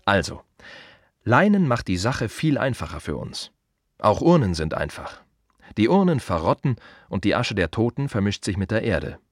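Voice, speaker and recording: An actor playing a plain-spoken, wry gravedigger in a radio play monologue, confiding in short halting phrases with dry irony. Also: male voice, fairly low pitch, big pitch swings, no echo. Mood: grim, dry.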